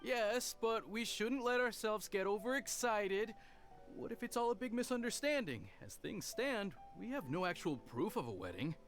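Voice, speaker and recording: A man's voice: high voice, very animated, moderate pace, a bit bright. An actor playing an earnest, restrained young male retainer with a formal manner in an anime video game. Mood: forced cheer. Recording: music behind the voice.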